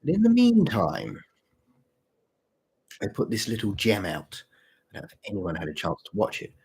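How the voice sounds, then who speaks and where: Male streamer, fairly deep and rough-edged voice with a touch of sore throat, halting, informal, repetitive chat. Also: huge pitch swings, darkish tone. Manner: halting, repeats phrases. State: mild sore throat, voice deeper than usual. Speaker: channel host and story writer. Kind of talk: casual livestream chat with viewers.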